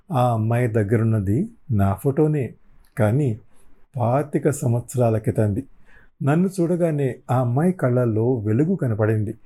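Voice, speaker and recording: A male audiobook narrator with a dark, mellow tone and a medium pitch. He tells the story dramatically in the first person.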